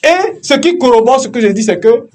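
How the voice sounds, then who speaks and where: A man with a Beninese French accent arguing in a heated political debate, often halting and repeating his words. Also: very high-pitched, animated, darkish tone.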